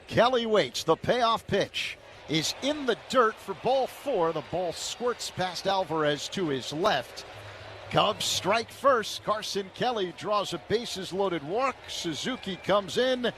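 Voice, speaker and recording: Male radio play-by-play announcer, somewhat high voice, measured delivery that builds on big plays, light background noise. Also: expressive, a bit bright.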